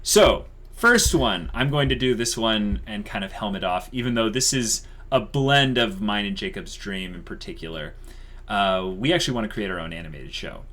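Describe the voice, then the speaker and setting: A man's voice: on the low side, expressive, moderate pace, a bit bright. A man co-hosting a YouTube channel, chatting casually and unscripted in an enthusiastic, emphatic way, repeating himself for emphasis.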